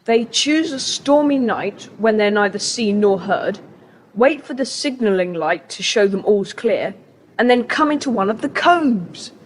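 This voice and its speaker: A woman playing a confident, bossy British boy who leads child adventurers in a children's audio drama, speaking with crisp authority. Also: medium pitch, animated, unhurried, natural voice. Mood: explaining, assured. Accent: British English. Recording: hissy cassette tape, long reverb.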